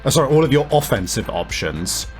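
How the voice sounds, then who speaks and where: A man running a tabletop game as game master, improvising narration and explaining deliberately with casual swearing. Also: medium pitch, big pitch swings. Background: faint music.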